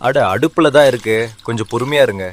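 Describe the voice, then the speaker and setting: A man playing a lazy, boastful village husband with a casual manner in an animated moral story. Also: medium pitch, fast talker, a little hiss or hum, natural voice. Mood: carefree, casual. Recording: music bed with crowd murmur. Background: music and crowd sounds.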